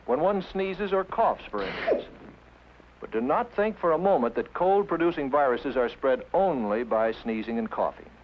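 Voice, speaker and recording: A man's voice: fairly high pitch, animated, normal speed, noisy background. A man delivering scripted 1950s educational film narration in a didactic, emphatic manner, posing rhetorical questions, on an old film soundtrack.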